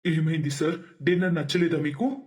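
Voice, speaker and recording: A man's voice: fairly high pitch, fairly even intonation, brisk, darkish tone, natural voice. A man voicing an eager young newlywed employee in a story, polite and deferential to his boss. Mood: anxious.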